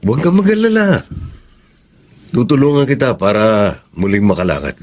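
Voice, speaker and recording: An earnest, kindly cousin, mid-range voice. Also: male voice, mid-range voice, huge pitch swings, normal speed, darkish tone. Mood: reassuring. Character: kindly, generous cousin returned from America, reassuring and respectful. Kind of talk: Tagalog radio drama acting.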